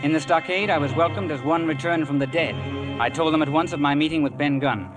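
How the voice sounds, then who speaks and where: A man's voice: on the high side, a little fast, loud music behind the voice, close to an everyday voice. A man playing an adventurer who recounts his past peril in dramatic scripted radio narration. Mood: suspenseful.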